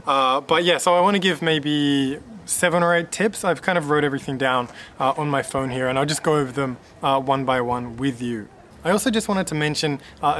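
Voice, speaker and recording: A man in his late twenties from Australia, talking casually to camera in a relaxed, rambling way with many fillers and restarts. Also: medium pitch, slight background noise. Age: late 20s. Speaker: vlogger.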